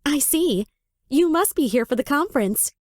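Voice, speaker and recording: A woman's voice: sits high, animated, normal speed, no echo, studio quality. A woman playing a polite, helpful casino front desk receptionist in a visual novel, with courteous customer-service manners.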